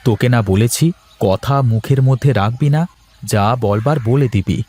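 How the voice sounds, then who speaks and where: A man's voice: medium pitch, measured pace, dark tone, slightly rough. A man voicing a practical, hard-up working man in a cartoon story, speaking plainly and matter-of-factly. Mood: agreeable. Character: struggling town worker, practical younger brother returning to his village.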